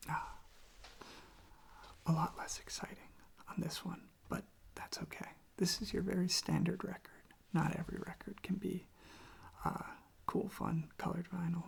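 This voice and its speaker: This is a soft-spoken ASMR host talking in a casual, unhurried ramble. The voice is extremely breathy and husky, often dropping toward a whisper, with heavy crackle in it. The delivery is gentle, hesitant and a little self-deprecating. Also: mid-range voice, steady tone. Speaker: host of a relaxation channel showing records.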